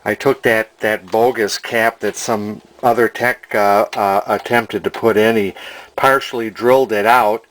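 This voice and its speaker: A man giving an informal hands-on repair demonstration in a halting way, thinking aloud as he explains. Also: on the low side, fairly even intonation, fairly bright tone. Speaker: hobbyist radio repairer, presenter. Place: indoor workshop at a workbench.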